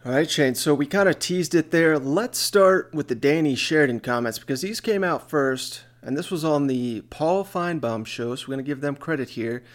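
A man's voice: fairly high pitch, normal speed, hardly any pauses. A man hosting a sports podcast, talking casually with a co-host.

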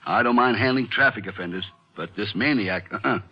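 An actor playing a nervous, talkative small-town marshal with a rambling Texan drawl, in a 1950s radio western style. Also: male voice, fairly low pitch, fairly quick, pushed and bright, slightly rough. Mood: uneasy. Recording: hissy old radio drama recording.

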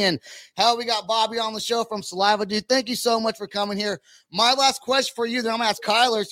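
A man with a notably high, bright voice hosts a casual interview. He talks in loose slang with some swearing, recorded very dry. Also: no echo. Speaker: podcast host.

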